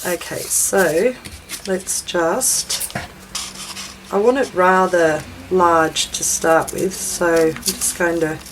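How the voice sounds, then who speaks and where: An Australian woman with a slightly raspy voice from a chest infection, hosting a casual craft video in a rambling, self-deprecating way as she talks to herself. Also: on the low side, background noise. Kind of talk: casual chatty craft demonstration.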